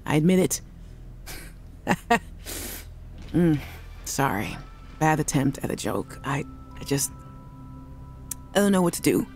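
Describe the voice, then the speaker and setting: An actress playing a worried woman scientist who leaves halting, apologetic voice messages for her sister in a video game. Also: low-pitched, sing-song, a little mellow, faint music behind, full character voice. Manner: anxious, apologetic, halting restarts. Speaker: game character.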